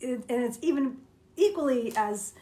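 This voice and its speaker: A woman's voice: a little high, expressive, measured pace, mellow. A woman singer-songwriter chatting casually during a live song share from home. Her voice is dry and throaty, and she talks with lots of ums and restarts, thanking her listeners. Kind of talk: casual livestream chat between songs. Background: her own acoustic guitar.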